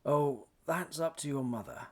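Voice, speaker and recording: A man playing a bashful, hesitant older gentleman suitor who stammers sheepishly in an audio drama. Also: on the high side, a little slow. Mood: mild.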